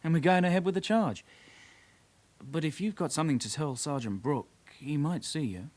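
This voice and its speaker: An actor playing a young British detective constable in a radio drama, a calm sidekick with a measured, official way of talking. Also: male voice, on the high side, close to an everyday voice. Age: young adult.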